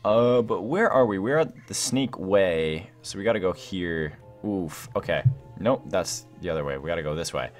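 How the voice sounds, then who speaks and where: A young man with a fairly low voice that jumps around in pitch as he plays. He talks in a casual, slangy way, thinking out loud and exclaiming over the game. Music plays behind him. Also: expressive, normal speed. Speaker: gaming video host. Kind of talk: excited casual gameplay commentary.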